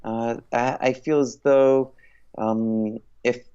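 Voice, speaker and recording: A man in his late thirties, a guest in an interview, talking in a reflective, halting way with many pauses. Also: medium pitch, steady tone, warm tone, clear, resonant tone, muffled recording. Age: 30s. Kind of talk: casual interview chat.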